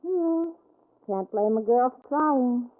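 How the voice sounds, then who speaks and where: Casting: spirited young detective's partner, female, teasing, very lively intonation, old radio recording. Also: fairly high pitch, takes it slowly. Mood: coaxing, playful. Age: young adult. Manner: playful, coaxing. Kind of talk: 1940s radio drama acting. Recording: tinny, band-limited 1940s radio recording.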